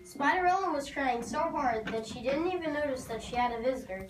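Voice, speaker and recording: A woman's voice: sits high, lively intonation, fairly quick. A woman narrating a scripted storybook tale for a readers theater performance. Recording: noisy, echoey hall from far off.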